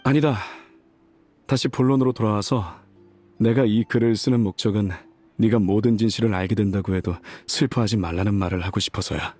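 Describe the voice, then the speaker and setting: Actor as a low-voiced wistful old mercenary father. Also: steady tone, brisk, a little mellow, close to an everyday voice. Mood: wistful, wry. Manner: wistful, folksy, wry. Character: ailing old mercenary leader, boastful, fond father. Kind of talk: dramatic game voice acting, letter read aloud.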